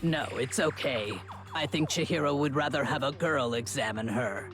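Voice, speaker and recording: A man reading out a stoic, strong-willed young woman fighter from a game in a lowered, solemn voice. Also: normal speed, lightly acted. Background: game music.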